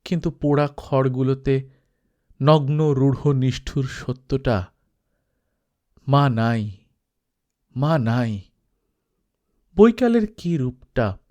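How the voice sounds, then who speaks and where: A man reading a literary novel aloud as an audiobook storyteller, expressive, with dramatic pauses. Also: medium pitch. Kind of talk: scripted literary narration.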